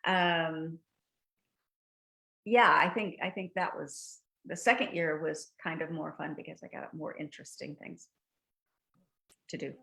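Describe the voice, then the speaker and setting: An older woman, a guest actress, chatting in an interview over a video call, telling lively stories and laughing often. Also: deep voice, unhurried, gravelly, long pauses. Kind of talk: casual interview chat.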